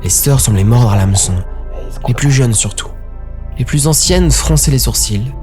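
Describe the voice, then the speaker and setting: A man's voice: medium pitch, animated, moderate pace. A man giving a dramatic scripted narration of a story. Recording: background music under narration.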